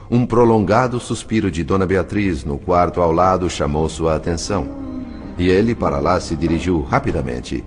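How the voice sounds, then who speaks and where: An actor playing a courteous, observant male spirit who narrates a dramatized audiobook in a formal, measured storytelling manner. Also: deep voice.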